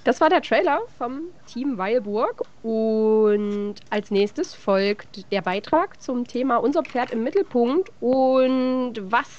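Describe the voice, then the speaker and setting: An older woman presents entries on a live stream and speaks into a headset. Her voice sits in a middle register and comes through a slightly muffled video-call line. She announces in a clear, friendly way. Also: mid-range voice, a little mellow. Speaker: co-host introducing the entries.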